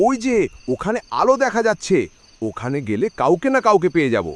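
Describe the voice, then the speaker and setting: A man's voice: high voice, wildly swooping pitch, brisk, dry recording. A man playing a devoted, weary middle-aged woodcutter father in a cartoon, fussing and muttering to himself expressively. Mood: anxious. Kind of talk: cartoon voice acting.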